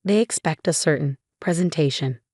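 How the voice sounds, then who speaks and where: A woman's voice: sits low, moderate pace, a little mellow, no echo, clean studio sound. A woman voicing a humbled male boss. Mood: protesting.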